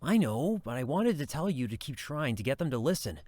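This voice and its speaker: A man's voice: mid-range voice, sing-song, fairly quick, darkish tone, no room sound. A man acting as an earnest, timid police deputy in an audio drama, hesitant and apologetic.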